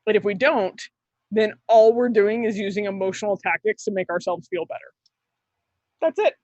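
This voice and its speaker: A woman co-hosting a casual chat, brisk, blunt and profane. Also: medium pitch, a little mellow, no echo. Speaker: podcast co-host. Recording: dull lo-fi mic.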